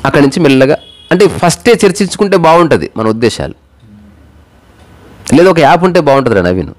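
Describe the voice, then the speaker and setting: A man's voice: on the high side, expressive, quick pace. A man tells moral stories and personal anecdotes in a casual, conversational way, acting out bits of dialogue and mixing in English words. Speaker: storyteller.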